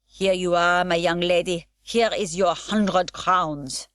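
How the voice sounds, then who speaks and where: A woman's voice: mid-range voice, sing-song, moderate pace, no echo, clean studio sound, voice pushed up. A woman voicing a blustering foreign male circus ringmaster, calling out to the crowd in broken showman's grammar. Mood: grudging.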